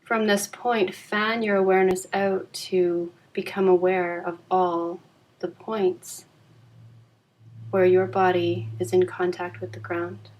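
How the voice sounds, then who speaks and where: A woman guides a deep relaxation practice in a fairly low voice. Her delivery is very even, with little rise or fall. She speaks calmly and soothingly, leaving unhurried pauses between phrases. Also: poor audio. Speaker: yoga nidra guide.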